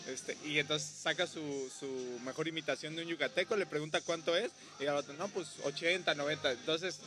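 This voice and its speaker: A man's voice: a little high, fairly even intonation, brisk, over music. A man from Veracruz with a Mexican accent, hosting a casual podcast interview in an easygoing way and often jumping in with short replies. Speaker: podcast host, stand-up comedian.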